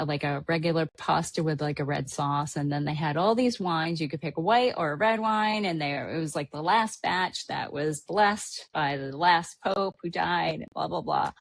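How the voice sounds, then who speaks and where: A woman from Hawaii hosting a podcast, chatting casually about a trip and rambling with lots of fillers. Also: low voice. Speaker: podcast host. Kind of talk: casual travel recap chat.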